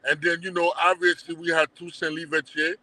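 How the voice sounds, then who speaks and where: A middle-aged man with a Haitian accent, guest on a casual livestream interview, telling animated stories full of slang and swearing. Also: on the high side, brisk, rough recording. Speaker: guest, documentary producer.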